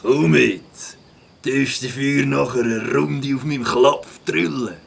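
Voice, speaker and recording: A man playing a rowdy, bossy grown-up biker animal in a Swiss German children's audio drama, with a raspy voice and slangy talk. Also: medium pitch, steady tone, slow pace, exaggerated voice. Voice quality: raspy, gravelly.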